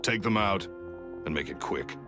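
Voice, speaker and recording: Bitter low-voiced villain magician. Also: male voice, animated, moderate pace. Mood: cold menace. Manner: sneering, threatening, theatrical. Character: bitter, menacing male villain, resentful magician turned murderer. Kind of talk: video game voice acting. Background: background music.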